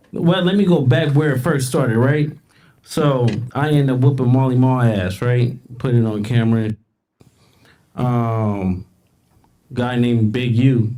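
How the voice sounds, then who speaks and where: A man in a played interview clip tells a story in a rambling way, filling gaps with "um" and "uh". His voice is dark and mellow. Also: medium pitch, fairly even intonation, unhurried. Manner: rambling storyteller, many fillers. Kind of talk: casual interview talk.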